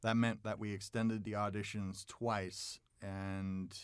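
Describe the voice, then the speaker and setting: A man speaking as interviewer and director in a relaxed conversation recorded in a sound studio. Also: lowish voice, takes it slowly, clear, resonant tone, dry recording. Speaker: interviewer, audio drama director.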